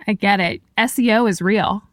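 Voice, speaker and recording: A woman's voice: mid-range voice, normal speed, dry recording. A woman working as an interviewer and host, moving between conversational questions and scripted documentary narration.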